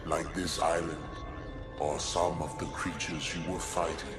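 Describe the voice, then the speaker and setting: An actor playing a tormented, weary male monstrosity in a video game, a mutated psychic who speaks gravely and with regret. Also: a bass voice, level tone. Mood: grave, sorrowful. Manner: grave, regretful, slow and deliberate. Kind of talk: video game cutscene acting. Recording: loud music under the voice.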